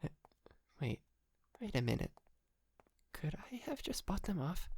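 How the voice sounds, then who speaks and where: A man's voice: fairly high pitch, very animated, measured pace, gravelly, a little mellow, husky, full character voice. A man playing a shy, polite, clingy yandere kidnapper in an intimate ASMR roleplay, stammering and apologetic, close to the mic. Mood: nervous, awkward. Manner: hesitant, stammering, nervous giggles, apologetic. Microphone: close microphone, dry.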